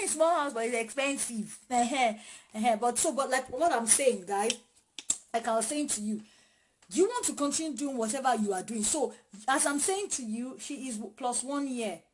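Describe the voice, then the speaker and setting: A woman's voice: fairly high pitch, measured pace, slight background noise, poor audio. A woman, chatting directly to viewers during a live question session, recorded on a phone at home. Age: 30s. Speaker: vlogger answering viewer questions. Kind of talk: casual live Q&A chat. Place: small home in a city.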